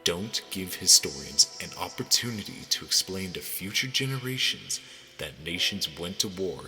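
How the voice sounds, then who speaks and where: A man acts out a stern, guarded fantasy lord for an audio drama. The voice is low and intensely forceful, with formal, admonishing delivery. It rings with long hall reverb. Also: expressive, normal speed, soft background music, heavily acted. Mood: cold warning. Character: stern, cynical, guarded male lord in power.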